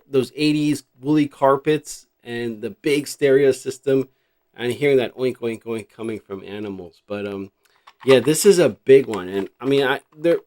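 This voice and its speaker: A middle-aged American man with a mid-pitched voice hosts in a casual, unscripted way. He rambles reflectively, thinking out loud as he goes. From New Jersey, United States. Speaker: host showing a cassette collection. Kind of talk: casual unscripted vlog chat.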